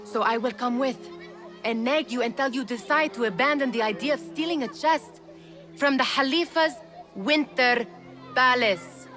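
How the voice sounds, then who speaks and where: A woman's voice: high-pitched, moderate pace, pushed and bright, some chatter behind. An actress playing a skeptical, loyal young woman thief in a video game, with a dry, chiding, formal manner. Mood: exasperated, chiding. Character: sharp-tongued, skeptical young female street thief, loyal companion. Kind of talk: dramatic video game voice acting. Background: music.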